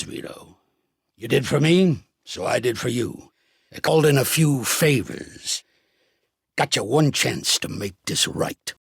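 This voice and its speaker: Game casting: shrewd old American mob boss, very raspy, lively swings in pitch, world-weary, blunt, fatherly under the gruffness. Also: no room sound, studio quality, exaggerated voice. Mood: stern, menacing. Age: older. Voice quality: gravelly, raspy. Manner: tough street slang, blunt. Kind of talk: video game voice acting.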